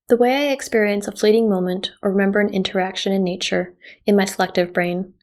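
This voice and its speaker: A Canadian woman whose first language was Japanese, a visual artist reading her artist statement in a measured, reflective way. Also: mid-range voice, gravelly. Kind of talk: scripted artist statement narration.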